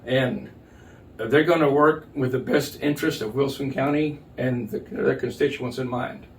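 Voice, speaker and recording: A man's voice: mid-range voice, moderate pace, recorded from a distance, a little hiss or hum. Older man interviewed, slightly off-mic. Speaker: county judge being interviewed. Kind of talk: interview answers.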